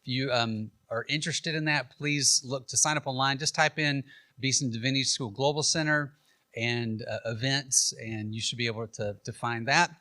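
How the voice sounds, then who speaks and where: A man's voice: medium pitch, fairly even intonation, normal speed, pushed and bright, dry recording. Dry-voiced American man hosting. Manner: friendly, informal, with frequent um and uh. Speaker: event host, interviewer. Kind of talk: announcements and interview questions.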